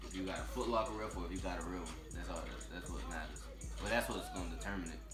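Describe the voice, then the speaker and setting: A young American man with a lowish voice reacts off the cuff to a video. He talks in a slangy, laid-back way, thinking aloud as he goes. The room echoes around him and music plays clearly behind. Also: fast talker. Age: young adult. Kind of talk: casual, off-the-cuff reaction commentary. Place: room with leather couch and large windows, indoors. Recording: roomy echo, music behind.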